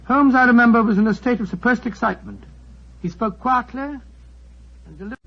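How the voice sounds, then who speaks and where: Husky, high-voiced fussy old British doctor. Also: male voice, fast. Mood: reflective. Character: Doctor Watson, loyal fussy older English doctor and storyteller. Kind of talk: 1940s radio drama acting. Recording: muffled old 1940s radio recording.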